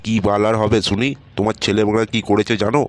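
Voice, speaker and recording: Man voicing a fast, cursing cartoon father. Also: lowish voice, flat delivery, no room sound, studio quality, faint music behind, natural voice. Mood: alarmed, furious. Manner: scolding, cursing, exasperated. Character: irritable, foul-mouthed, cash-strapped village father. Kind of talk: comedy cartoon dialogue. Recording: loud background noise.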